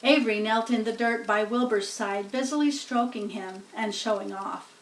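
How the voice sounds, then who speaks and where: A woman's voice: fairly high pitch, fairly even intonation, moderate pace. A woman reading a children's story aloud, narrating plainly and chatting to explain things as she goes. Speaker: storybook reader. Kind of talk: slow read-aloud narration with casual explanatory asides. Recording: roomy, distant-sounding home recording.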